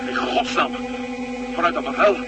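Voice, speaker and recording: An actor playing an urgent, forceful astronaut under pressure in a science fiction radio play. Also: male voice, moderate pace, close to an everyday voice. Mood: tense, exasperated. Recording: muffled, very noisy old radio recording.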